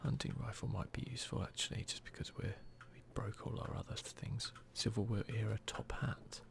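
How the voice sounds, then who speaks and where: A man giving soft, near-whispered ASMR commentary as he hosts a gaming video, in a casual, muttering, self-deprecating way. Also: lowish voice, fairly even intonation, fairly quick, creaky.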